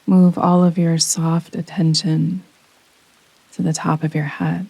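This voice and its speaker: Very slow, hushed-toned woman guiding meditation. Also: sits low, level tone, vocal fry, husky, no room sound, poor audio. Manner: soothing, gentle, unhurried with long pauses. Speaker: meditation guide. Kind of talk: guided yoga nidra relaxation meditation. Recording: close dry voice over rain sounds. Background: soft rain sounds.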